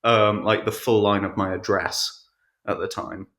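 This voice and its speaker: A man chatting casually as an interview guest, hesitant and low-key, with a roomy sound around his voice. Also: steady tone, measured pace, a bit bright. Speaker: podcast guest, audiobook narrator. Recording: echoey, roomy voice pickup.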